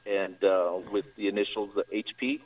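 An American man from Colorado phoning a radio show, telling personal experiences haltingly, with frequent pauses and fillers. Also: sits low, level tone, darkish tone, a little hiss or hum. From Greeley, Colorado. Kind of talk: personal account in a live call. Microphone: phone call to radio show. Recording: distant-sounding radio call-in line.

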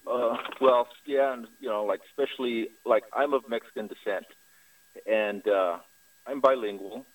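An American man from Colorado phoning a radio show, telling personal experiences haltingly, with frequent pauses and fillers. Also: mid-range voice, slight background noise. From Greeley, Colorado. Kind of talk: personal account in a live call. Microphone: phone call to radio show. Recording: distant-sounding radio call-in line.

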